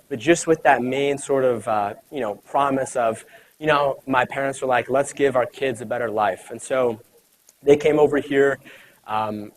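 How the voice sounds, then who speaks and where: Young guy giving a motivational talk, chatty, lots of "you know"s, mid-pitched voice. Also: rough recording. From United States. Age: 20s. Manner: casual, conversational, many fillers and "you know". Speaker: speaker at an event. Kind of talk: motivational talk to a live audience.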